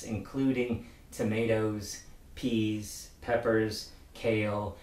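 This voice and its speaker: A middle-aged male pastor gives a sermon in a measured, reflective manner. His voice sits at an ordinary male pitch. It was recorded indoors with a roomy echo, a little away from the microphone. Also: distant mic. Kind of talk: prepared sermon. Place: book-lined room indoors.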